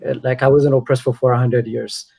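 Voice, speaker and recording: A man's voice: medium pitch, little pitch movement, brisk, creaky, warm tone. A man speaking as a guest on an online panel, hesitant with many filler sounds. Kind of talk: casual panel discussion.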